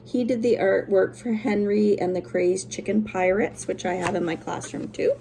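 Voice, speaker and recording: A woman's voice: mid-range voice, steady tone, normal speed, creaky, noisy background. A woman teacher doing a children's read-aloud, with simple, encouraging explanations for young listeners.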